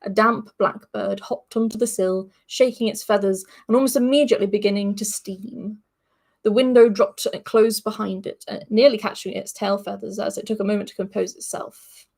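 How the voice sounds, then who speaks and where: A woman's voice: medium pitch, steady tone, normal speed, vocal fry, a little mellow. A woman podcast host reading a story aloud in a chatty manner. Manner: chatty, laughs between passages.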